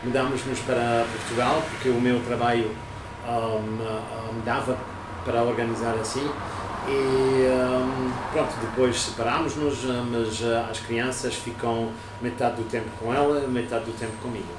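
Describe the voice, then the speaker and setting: A man's voice: mid-range voice, little pitch movement, normal speed. A German man speaking Portuguese as a guest, hesitant, with many fillers and restarts. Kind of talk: casual interview chat. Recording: echoey room, distant mic, noisy.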